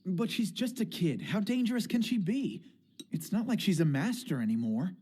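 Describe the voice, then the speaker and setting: A woman's voice: on the low side, sing-song, moderate pace, mellow, breathy edge. A woman voicing an easygoing, earnest teenage boy hero in a casual manner in a fan manga dub.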